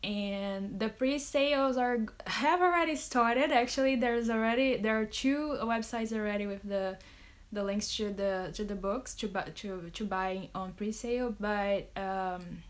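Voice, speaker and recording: A woman's voice: mid-range voice, measured pace. A woman author from Brazil, casually answering fan questions on a phone live stream, chatty with many fillers and laughs. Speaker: romance author. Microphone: phone on a social media live stream.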